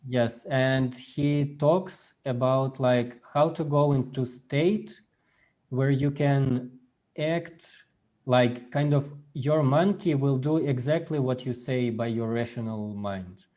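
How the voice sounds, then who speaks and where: A man whose first language is Russian gives an informal workshop over a video call, speaking haltingly with many fillers and restarts. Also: medium pitch, darkish tone. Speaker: workshop presenter. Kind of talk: informal online workshop talk.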